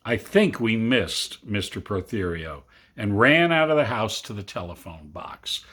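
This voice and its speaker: A man reading a literary story aloud to children, with dramatic, deliberate phrasing and long pauses. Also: on the low side, animated, a bit bright. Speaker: reader. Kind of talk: expressive storybook narration for children.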